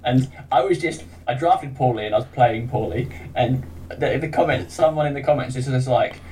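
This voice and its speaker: A man's voice: mid-range voice, moderate pace, a little mellow, slight background noise, rough recording. An English man, a podcast guest who streams games, talking in a chatty, self-deprecating way and laughing often. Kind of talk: casual chat.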